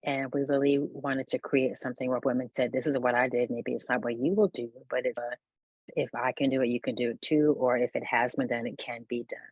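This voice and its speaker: A woman's voice: rumbling low, steady tone, a little fast, a little mellow, breathy edge, no room sound, low-quality recording. A woman on a discussion panel upbeatly describing her program. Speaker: program leader.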